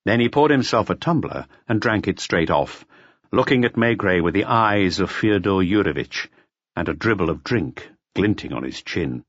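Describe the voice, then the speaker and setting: A man's voice: low-pitched, expressive, moderate pace, husky, no echo, highs cut off. A man reading a mystery audiobook as a scripted narration. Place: close, dry recording.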